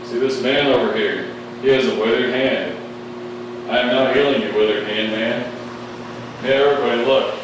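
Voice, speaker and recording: A student actor playing Jesus as a patient teacher in a casual amateur skit, speaking in an easygoing way. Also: male voice, mid-range voice, normal speed, pauses often, close to an everyday voice. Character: calm adult male Jesus, patient teacher. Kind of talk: amateur class skit acting. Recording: roomy distant noisy home video.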